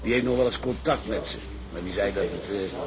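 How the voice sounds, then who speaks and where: An actor playing a bitter, resigned out-of-work tugboat sailor in a radio drama, confiding gossip in a halting way. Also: male voice, on the low side, close to an everyday voice. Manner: halting, conspiratorial storytelling with repetitions. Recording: crowd murmur behind dialogue.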